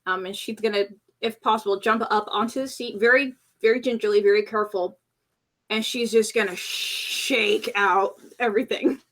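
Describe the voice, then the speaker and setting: A woman's voice: mid-range voice, fairly even intonation, moderate pace, a bit bright. A woman playing in a tabletop roleplaying game, casually describing what her character does.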